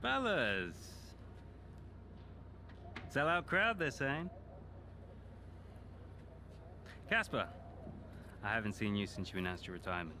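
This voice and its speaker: Smug rival driver, slow and swooping. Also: male voice, on the low side, big pitch swings, bright, forceful tone, slight background noise, heavily acted. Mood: falsely jovial. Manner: mocking, drawling, faux-innocent. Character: smug, needling rival racing driver, falsely matey troublemaker. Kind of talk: animated video game cutscene acting.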